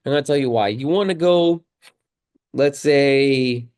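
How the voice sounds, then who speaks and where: A man with a slightly hoarse voice from a cold, talking casually to viewers in a tutorial, conversational and repeating key points for emphasis. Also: medium pitch, measured pace, darkish tone. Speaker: video creator and car rental host.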